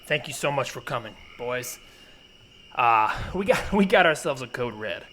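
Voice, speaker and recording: A man improvising a comedy scene as a paranoid survivalist general, halting but commanding. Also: mid-range voice, expressive, bright, forceful tone. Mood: paranoid resolve. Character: paranoid survivalist general rallying his militia boys. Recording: noisy woodland soundscape behind voice.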